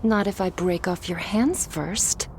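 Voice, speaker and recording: Woman acting a slow, taunting vampire. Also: fairly low pitch, noisy background. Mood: menacing, teasing. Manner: teasing, provoking, smug. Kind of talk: scripted roleplay audio drama.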